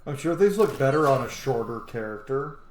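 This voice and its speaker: A man's voice: medium pitch, lively intonation, brisk. A man doing casual let's play commentary, informal and sweary, thinking aloud as he plays. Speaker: gaming video host. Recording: echoey room with faint background noise.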